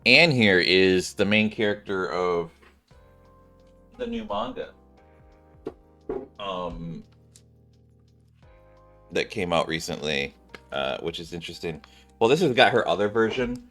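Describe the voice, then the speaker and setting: Male gaming streamer, deep voice, animated delivery, casual rambling reactions to what happens in play. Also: slow, pauses often, faint music behind. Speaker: video game streamer. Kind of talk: casual game commentary.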